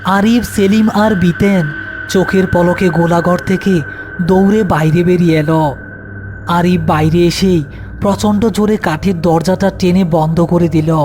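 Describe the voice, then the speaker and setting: A man's voice: sits high, darkish tone. A man giving scripted narration as the storyteller of a horror tale.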